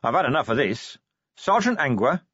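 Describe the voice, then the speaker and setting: Man acting a blunt, animated police commander. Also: medium pitch, moderate pace, husky, dry recording, lo-fi sound, pitched above the performer's own voice. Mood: angry, forceful. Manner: blunt, challenging, sardonic. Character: gruff, combative middle-aged male police commander. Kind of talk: audiobook character acting.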